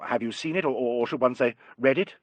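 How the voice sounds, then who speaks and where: A man's voice: mid-range voice, fairly quick, dry recording, close to an everyday voice. A man voicing a polite, candid, slightly tired woman guest in an audiobook, speaking with some hesitation. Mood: curious, taken aback. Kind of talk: audiobook character dialogue.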